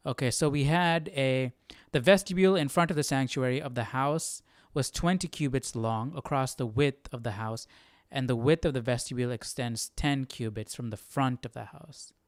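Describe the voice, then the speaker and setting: A man's voice: medium pitch, moderate pace, no room sound, studio quality. A young man hosting a casual livestream study, thinking aloud and repeating phrases as he goes. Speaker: Bible study livestream host. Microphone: close handheld or desk microphone with headphones.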